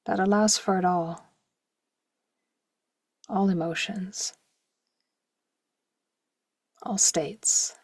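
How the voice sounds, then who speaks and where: A woman leading a guided meditation slowly and gently in short, soft phrases, with a breathy voice that at times nears a whisper. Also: medium pitch, fairly even intonation, dry recording. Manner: slow, gentle, with long pauses and short phrases.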